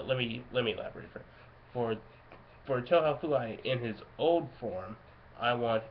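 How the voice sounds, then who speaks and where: A man giving casual, unscripted fan commentary in a halting way, often searching for his words. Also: medium pitch. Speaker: fan video creator. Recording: muffled lo-fi home mic, background noise.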